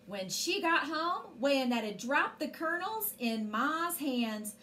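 A woman's voice: high-pitched, very animated, slow pace, bright, forceful tone, slight background noise. A woman in her forties reading a children's story expressively as a storyteller, indoors.

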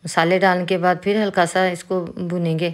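A woman with a Pakistani Urdu accent, calmly explaining a recipe step by step as a cooking host. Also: fairly low pitch, moderate pace. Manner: calm, instructive, with religious greetings. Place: home kitchen.

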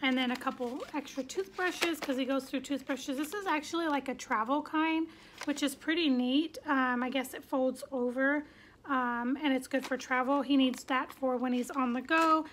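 A woman's voice: high voice, normal speed, a bit bright, slight background noise. A woman in her thirties sitting on her bedroom floor, chatting casually through a shopping haul with lots of "um" fillers. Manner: chatty, many "um" fillers, upbeat. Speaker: vlogger. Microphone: phone camera at arm's length.

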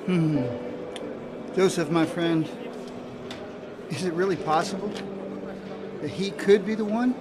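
An actor playing an astonished, questioning temple elder in a Bible audio drama. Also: male voice, sits high, slow pace, long pauses, noisy background.

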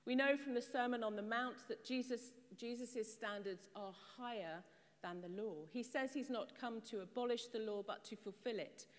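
Middle-aged woman priest; measured sermon, higher voice, long church reverb. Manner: measured, explanatory preaching with rhetorical questions. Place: stone church, at a pulpit. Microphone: far camera.

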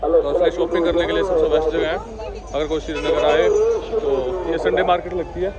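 A man's voice: a little high, flat delivery, fairly quick, highs cut off, over a noisy crowd. A young man casually narrating a travel vlog straight to his viewers while walking through a busy outdoor market, recorded on a camera-mounted mic. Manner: chatty, talks straight to viewers. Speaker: travel vlogger. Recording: busy outdoor street noise.

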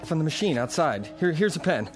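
An actor playing a nervous, folksy bartender who stammers, in a radio drama. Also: male voice, on the high side, sing-song, fairly quick, faint music behind. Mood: friendly. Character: nervous male small-town bartender.

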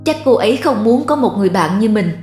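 A woman voicing an earnest, self-doubting young man in love for an animated cartoon. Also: medium pitch, fast talker, reverberant, close to an everyday voice. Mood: wistful, self-doubting. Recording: music behind the voice.

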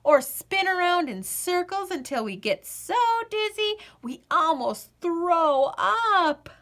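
A woman voicing an energetic, indignant and imaginative little girl from a storybook, with emphatic, childlike delivery. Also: very high voice, takes it slowly, voice pushed up, exaggerated voice. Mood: eager, insistent.

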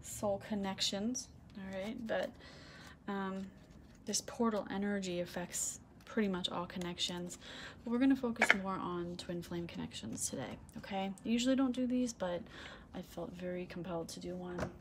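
A woman giving a casual tarot reading to camera, soft-spoken with a low, husky voice that is starting to give out, often asking "okay?"; recorded indoors on a faulty microphone. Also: moderate pace, noisy background. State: losing her voice, clearing throat. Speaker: tarot reader. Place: indoor room, red curtains and candles on a table. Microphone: broken microphone, quiet.

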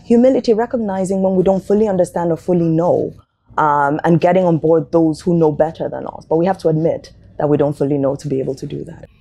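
A middle-aged woman speaks as an academic guest in an interview. She is thoughtful and measured, often pausing and repeating a word as she works out her point. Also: low voice, steady tone, warm tone. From Nigeria. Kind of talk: reflective interview talk. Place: indoor interview set, seated.

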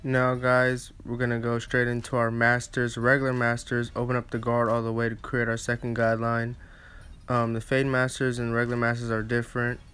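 Casting: male barber for a tutorial voice-over, smooth medium-pitched voice, flat casual delivery, slightly halting phrasing. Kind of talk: casual step-by-step instructional narration.

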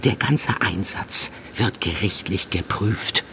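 An actor playing a police handler in a naturalistic audio drama, reassuring yet insistent, often dropping into hushed tones. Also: male voice, low-pitched, takes it slowly, heavily acted. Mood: tense. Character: male police handler calming and pressuring his undercover agent. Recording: noisy outdoor ambience with vehicle sounds.

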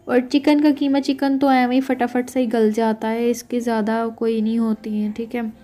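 A woman's voice: a little high, little pitch movement, normal speed, mellow. A young woman chatting through a home cooking recipe, often checking in with her viewers as she goes. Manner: chatty, keeps saying "theek hai" to check in with viewers. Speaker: cooking vlogger. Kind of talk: casual cooking narration.

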